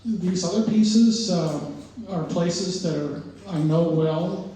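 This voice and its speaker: An older man, the exhibiting artist, giving an informal, halting talk about his work with many pauses and restarts. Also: high-pitched, warm tone. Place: art gallery, indoors. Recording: very echoey room, voice far from mic, faint noise.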